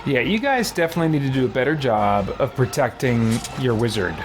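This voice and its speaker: A man giving casual live gameplay commentary as a streamer, rambling and thinking out loud as he goes. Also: mid-range voice, lively intonation, fairly quick, over loud music. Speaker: gaming channel host, streamer. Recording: noticeable background noise.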